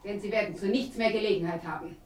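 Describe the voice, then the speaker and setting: A woman's voice: mid-range voice, fast talker, echoey room, recorded from a distance, close to an everyday voice. A woman playing a bitter, vengeful widow in a radio play, coldly resolute, accusing and menacing.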